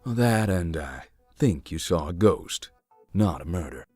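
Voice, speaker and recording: Deep husky sardonic guy, dry deadpan lines, game dialogue. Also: measured pace, dry recording, faint music behind, full character voice. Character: dry skeptical man, ghost-believer, sardonic.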